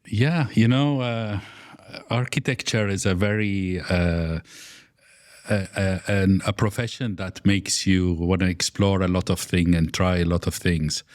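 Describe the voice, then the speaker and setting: A man's voice: deep voice, fairly even intonation, unhurried, dry recording, studio quality. A middle-aged man from Iraq, an architect guest in an interview, speaking with frequent fillers and restarts. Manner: hesitant, many fillers and restarts. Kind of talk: conversational interview.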